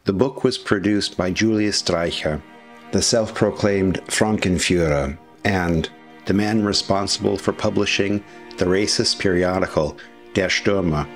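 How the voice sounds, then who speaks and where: A man with a deep, low-set voice narrates a history documentary. His delivery is scripted, formal and measured, with passages of commentary. Quiet music plays underneath him.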